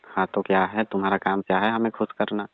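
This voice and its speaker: Demanding old father-in-law played by a man, low, muffled. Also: little pitch movement, a little fast, no echo, lightly acted. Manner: badgering, dismissive, questioning. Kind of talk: staged phone call skit, conversational. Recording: dull, band-limited phone call recording.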